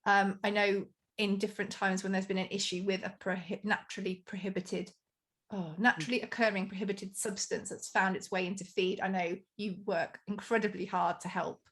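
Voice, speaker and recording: A woman hosts a podcast with a mid-range voice, and she is chatty, upbeat and quick to make fun of herself. Her talk is warm and conversational as she introduces her guests. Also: mid-range voice, little pitch movement. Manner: chatty, enthusiastic, self-deprecating. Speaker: podcast host.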